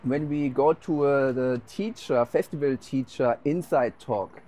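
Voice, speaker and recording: German man interviewing in halting English. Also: mid-range voice, dark tone, low-quality recording. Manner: halting, searches for English words. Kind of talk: festival interview.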